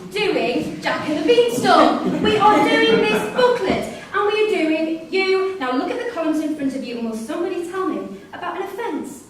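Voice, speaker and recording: A high-pitched woman's voice carries from far off in a heavily reverberant hall. An actress plays a weary prison staffer, firm and exasperated, in a play reading. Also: animated, normal speed, few pauses, a loud crowd. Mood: exasperated, stern. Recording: distant echoey hall recording, faint noise.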